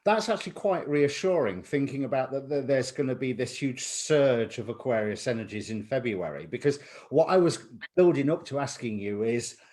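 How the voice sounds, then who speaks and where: A man's voice: mid-range voice, expressive, normal speed, few pauses, darkish tone. Chatty male interview host. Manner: chatty, reflective, asks long winding questions. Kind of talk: conversational interview.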